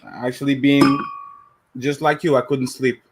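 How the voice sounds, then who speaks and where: A man's voice: medium pitch, level tone, measured pace, slight background noise. Dry-joking man from Africa. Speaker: guest panelist. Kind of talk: casual chat.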